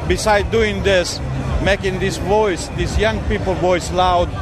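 A man's voice: sits high, steady tone, measured pace. A man in his fifties with an Italian accent, giving a street interview as a protester. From Italy. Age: 50s. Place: city street, outdoors. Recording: noisy outdoor street with crowd and music.

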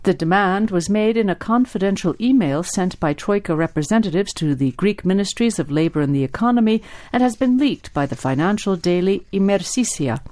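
A woman's voice: mid-range voice, warm tone. A woman reading scripted news reports as a radio newsreader.

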